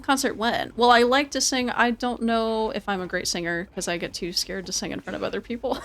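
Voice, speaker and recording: A woman's voice: a little high, fairly bright tone, slight background noise. A woman giving casual live game commentary and chatting with viewers, informal and prone to repeating her words and phrases. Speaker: game streamer.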